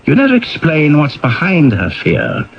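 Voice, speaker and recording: An actor playing a brisk, demanding male newspaper editor in a 1970s radio drama. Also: a little high, wildly swooping pitch, vocal fry, full character voice. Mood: brisk, probing. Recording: dull vintage radio recording.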